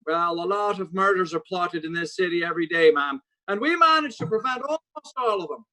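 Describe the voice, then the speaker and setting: A man's voice: high-pitched, lively intonation, brisk, sharp tone, rough recording. Man as weary police sergeant, forties radio drama, dismissive, patronizing, hesitant placating delivery. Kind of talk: 1940s radio drama acting.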